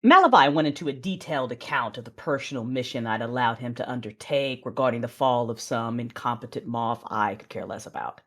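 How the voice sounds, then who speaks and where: Low-voiced woman, animated dramatic narration. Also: fairly quick, hardly any pauses. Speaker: narrator and lead actor of an audio drama. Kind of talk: dramatic first-person scripted narration.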